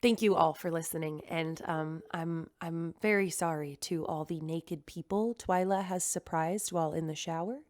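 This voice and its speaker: A woman's voice: sits low, normal speed, few pauses, a little mellow, lightly acted. An actress playing a computerized woman store owner and new mother, rambling a little nervously in a recorded message. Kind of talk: audio drama acting, recorded message.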